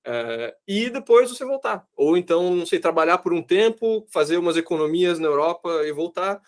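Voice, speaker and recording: A man hosting a podcast, animated and slangy, and he acts out what other people might say. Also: sits high, brisk. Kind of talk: casual interview chat.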